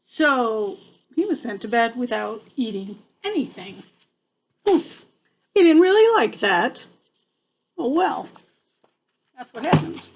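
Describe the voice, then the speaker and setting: A woman's voice: on the high side, big pitch swings, takes it slowly, pauses often, a little hiss or hum, low-quality recording. An older woman reading a picture book aloud to children like a grandmother, adding chatty asides and checking in with her young listeners.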